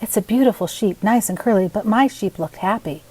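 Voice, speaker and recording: A woman's voice: mid-range voice, fairly quick, a little mellow, breathy edge, close to an everyday voice, low-quality recording. A woman voicing a polite, curious seven-year-old boy in a storybook, eager and piping. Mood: polite, hushed.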